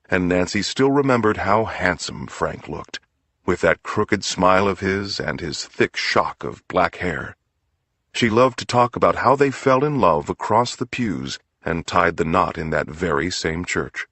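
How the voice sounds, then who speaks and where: A man narrates a crime story in a very low-pitched voice, recorded close and dry. The delivery is scripted audiobook storytelling, with a slightly rough, husky edge. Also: expressive, measured pace, fairly bright tone, no room sound.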